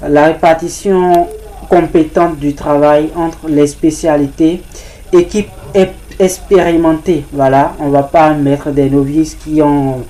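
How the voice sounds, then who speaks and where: Woman presenter, warm dark tone, halting informal delivery full of fillers. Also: low-pitched, steady tone, low-quality recording. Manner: hesitant, with frequent restarts and "voilà" fillers. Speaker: presenter of a crypto ambassador training service.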